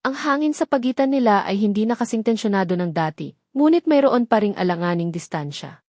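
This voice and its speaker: A woman's voice: mid-range voice, expressive, fairly quick, a little mellow, dry recording. Woman narrating a romance story, very dry sound.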